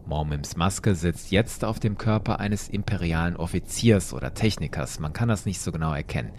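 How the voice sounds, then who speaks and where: A man's voice: low voice, moderate pace, a little mellow, slight background noise. A man retelling a comic as an audio story, mixing vivid narration with wry, casual asides. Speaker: podcast host and storyteller.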